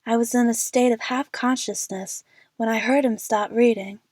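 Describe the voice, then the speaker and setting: A woman's voice: fairly high pitch, fairly even intonation, normal speed, a little mellow, dry recording, close to an everyday voice. A woman playing an anxious, lovestruck young woman who narrates her adventure earnestly in an audio drama. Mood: tender, drowsy.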